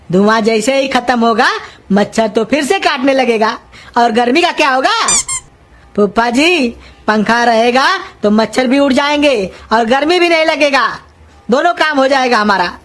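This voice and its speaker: Woman voicing a high-pitched cheeky scheming young man. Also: unhurried, natural voice. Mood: whiny, protesting. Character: cheeky, scheming young male pumpkin-nicknamed servant. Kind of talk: Hindi cartoon comedy voice acting.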